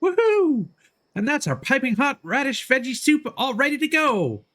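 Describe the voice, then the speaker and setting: A man's voice: very high voice, sing-song, normal speed, a bit bright, pitched above the performer's own voice, heavily acted. A man voicing a small, eager, childlike fairy companion with an exclamatory manner while reading out game dialogue. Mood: cheerful, eager. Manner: refers to self in third person, exclamatory.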